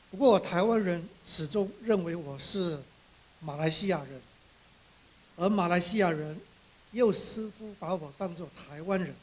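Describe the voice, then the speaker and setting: A high-voiced man delivers a prepared speech. His voice is husky and breaks the text into short, measured phrases, with some hesitation. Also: rough recording. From Borneo, Malaysia. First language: Chinese. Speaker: author giving an acceptance speech.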